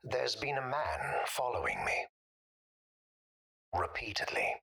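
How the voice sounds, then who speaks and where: An actor playing a polite but sinister middle-aged man whom nobody can remember, speaking formally and deliberately in a husky, breathy voice for an audio drama. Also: mid-range voice, huge pitch swings, sharp tone, full character voice. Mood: guarded, deliberate. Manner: courteous, deliberate, formally worded.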